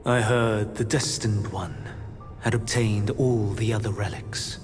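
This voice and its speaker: A man's voice: on the low side, fairly even intonation, a little slow, close to an everyday voice. An actor playing a scheming, silver-tongued male immortal in a video game, formal, insinuating and theatrical. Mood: grave, persuasive. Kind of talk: dramatic video game cutscene acting. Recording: voice under prominent music.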